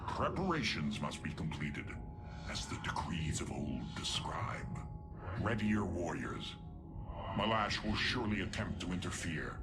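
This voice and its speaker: A man's voice: sing-song, a little slow, over loud music, heavily acted. A man playing a menacing, imperious alien warlord in a video game, speaking in a haughty, ceremonious growl. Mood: commanding. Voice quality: very deep, gravelly growl. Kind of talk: theatrical video game dialogue.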